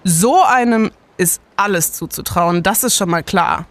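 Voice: medium pitch, unhurried, a bit bright, no echo, close to an everyday voice. An actor playing a brash, blunt young student detective in an audio drama, with slangy phrasing. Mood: defensive, sceptical.

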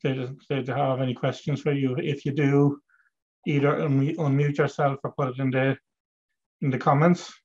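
A middle-aged man hosting a coaching webinar, asking calm, measured questions of a client on a video call. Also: medium pitch, breathy edge. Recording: dull lo-fi video call audio.

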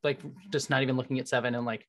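A woman's voice: a bass voice, level tone, racing. A woman in her thirties hosting a casual interview over a video call, speaking into a studio microphone. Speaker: host, interviewer. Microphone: large microphone on a boom arm.

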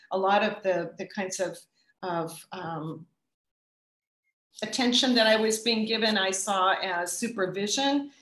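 A woman's voice: mid-range voice, a bit bright. Thoughtful woman in an echoey room. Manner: thoughtful, with restarts and pauses. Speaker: guest speaker. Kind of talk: answering audience questions at a talk.

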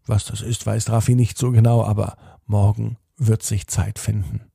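A man narrating a bedtime story in a slow, soothing way, speaking directly to the child listening. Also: fairly low pitch, level tone, gravelly, warm tone, slightly rough, no room sound, studio quality.

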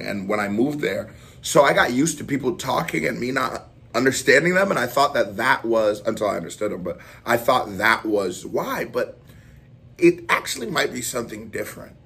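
A man in his thirties hosting a music reaction, talking casually in an animated way, exclaiming and thinking out loud in a small room. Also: lowish voice, creaky, a little hiss or hum. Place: small indoor room with posters on the wall.

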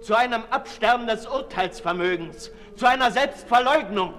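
Brooding Marquis de Sade in a reverberant hall. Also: male voice, extremely high pitch, a little hiss or hum. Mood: disgusted, defiant. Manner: theatrical, deliberate, declamatory monologue with swings from hushed to loud. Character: Marquis de Sade, brooding disillusioned aristocrat, intense and self-lacerating. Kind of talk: 1960s German radio play acting. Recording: 1960s radio recording in reverberant hall.

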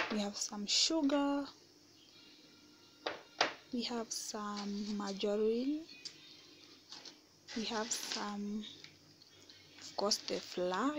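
A woman narrating her home baking video in a relaxed, soft-spoken way, often half-whispering. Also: mid-range voice, noisy background. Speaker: baking vlogger. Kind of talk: casual kitchen voice-over. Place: home kitchen.

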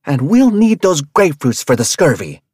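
A man voicing a hot-tempered, foul-mouthed woman mercenary in a read-aloud story, yelling in a raised pitch. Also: sing-song, fairly quick, dry recording, studio quality. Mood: furious. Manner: yelling insults, brash and loud.

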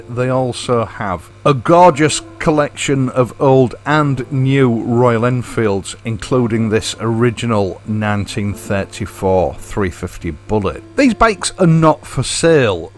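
A man giving a chatty, scripted voice-over as the host of a vlog. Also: mid-range voice. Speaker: host and narrator of a motorcycle channel. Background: background music.

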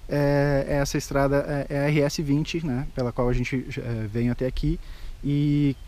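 A man's voice: mid-range voice, little pitch movement, moderate pace, darkish tone. A man in his forties with a Gaúcho Brazilian accent, explaining routes on a travel vlog with many fillers, filmed on a handheld camera. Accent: Gaúcho Brazilian Portuguese. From southern Brazil. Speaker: vlogger. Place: outdoors on a lawn. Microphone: handheld action camera at arm's length.

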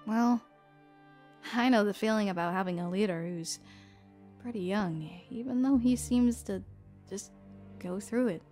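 A woman voicing a thoughtful young adventurer in an anime audio drama, phrasing things deliberately with pauses. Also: mid-range voice, darkish tone, soft background music, lightly acted.

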